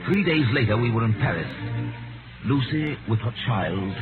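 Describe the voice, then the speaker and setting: A man playing a calm, grave narrator in a scripted 1930s radio drama. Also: medium pitch, moderate pace, over music, lightly acted. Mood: grave, hushed. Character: calm, grave male storyteller of a radio play. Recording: tinny, noisy 1938 radio recording.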